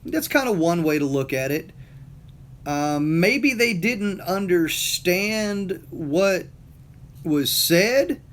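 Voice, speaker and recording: A middle-aged man with a Southern US accent, talking casually and motivationally, rambling with many fillers and repeated words. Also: fairly high pitch. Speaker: motivational talker. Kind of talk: casual monologue.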